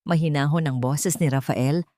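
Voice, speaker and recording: Low-voiced woman narrating a dramatic story. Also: normal speed, warm tone, dry recording, clean studio sound.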